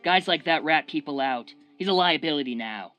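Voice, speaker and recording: A man's voice: on the high side, expressive, moderate pace, bright, forceful tone, faint music behind. A man playing a paranoid, domineering crime boss in a radio drama, demanding and agitated. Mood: anxious.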